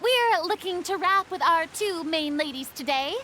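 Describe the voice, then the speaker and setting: A woman voicing an excitable, self-assured girlish film director in a video game, showy and enthusiastic in manner. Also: very high voice, moderate pace, a little hiss or hum, heavily acted. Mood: confident, eager. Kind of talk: video game character acting.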